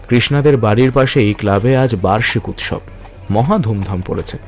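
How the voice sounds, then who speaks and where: A male narrator of a radio drama. His voice sits fairly low as he tells the story in scripted, literary prose. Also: darkish tone.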